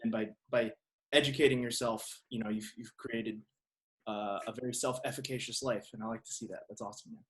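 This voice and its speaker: A man hosting a podcast, talking casually and reflectively in thoughtful, halting phrases. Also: fairly low pitch, fairly even intonation, a bit bright. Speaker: podcast host. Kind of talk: casual reflective interview talk.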